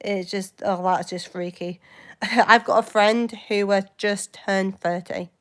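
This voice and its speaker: Rambling young woman, smooth voice. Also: medium pitch, steady tone, slow, a bit bright. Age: 20s. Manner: rambling, hesitant, jumps between topics. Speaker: livestream host. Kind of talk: casual live chat with viewers.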